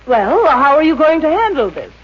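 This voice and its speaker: An actress playing a stern, exasperated woman boss in a 1950s radio comedy, with a husky voice and clipped, imperious delivery. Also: high-pitched, sing-song, normal speed, slight background noise. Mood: exasperated, threatening. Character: stern, exasperated female boss of a reducing salon. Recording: muffled old radio recording.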